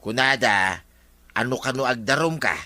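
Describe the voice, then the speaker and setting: A man's voice: medium pitch, normal speed, pushed and bright, dry recording, lightly acted. A man with an Ilocano accent playing a gossiping, chiding villager in a comedy drama.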